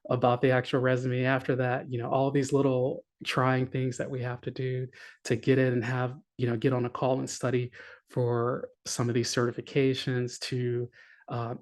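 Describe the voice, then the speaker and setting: A man's voice: medium pitch, completely flat delivery, moderate pace, a little mellow. A man giving a conference talk, earnest and encouraging, with many fillers and restarts. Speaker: conference speaker.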